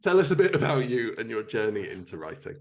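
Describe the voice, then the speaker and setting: A man's voice: medium pitch, sing-song, quick pace. A man hosting a podcast, reading an upbeat scripted intro and then teasing his guests playfully in the interview. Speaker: podcast host.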